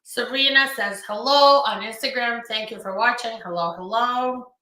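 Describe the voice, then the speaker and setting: A woman's voice: a little high, moderate pace, bright, forceful tone, slight background noise. A woman, an immigration lawyer chatting on a live stream and greeting viewers by name, with an upbeat manner and frequent fillers. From Ukraine. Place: home or office room in New York. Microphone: phone. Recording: echoey room on a phone.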